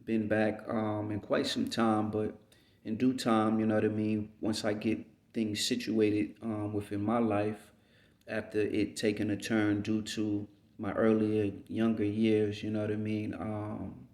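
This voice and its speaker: A man from the United States giving an unhurried, rambling reflective monologue as a vlogger, often checking in with "you know what I mean.". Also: on the low side, monotone, a little mellow. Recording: roomy echo.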